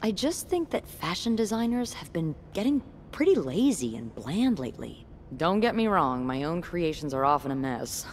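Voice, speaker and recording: Wry young coworker, playful, self-assured, lowish voice, very lively intonation, game dialogue. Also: female voice, normal speed, slight background noise. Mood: playful, candid. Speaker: game character voice actress. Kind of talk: naturalistic video game dialogue.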